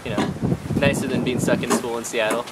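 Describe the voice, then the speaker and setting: A young man in his twenties from Nevada, a landscape architecture student, talking in a relaxed, casual way. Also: medium pitch, fairly quick. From Nevada, United States. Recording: wind noise on the mic.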